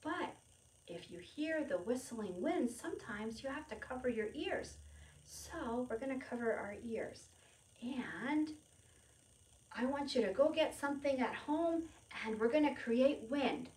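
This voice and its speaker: Animated woman presenting a preschool storytime. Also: high-pitched, a little slow, rough recording. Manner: simple repetitive explanations with questions and prompts for small children; refers to self in third person. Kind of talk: children's lesson, demonstrating to young viewers at home.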